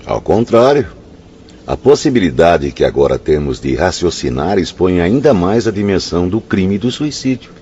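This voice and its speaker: An actor playing a repentant gambler spirit in an audio drama, candid, formal and earnest. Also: male voice, low voice, big pitch swings, normal speed, mellow. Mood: grave. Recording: dull, noisy audio with ambience.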